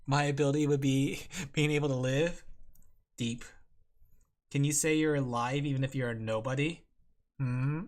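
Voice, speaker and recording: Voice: mid-range voice, steady tone, moderate pace, pauses often. A vtuber streamer who giggles often and chats playfully with viewers while drawing. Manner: giggly, playful, talks to chat. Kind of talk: casual livestream chat while drawing.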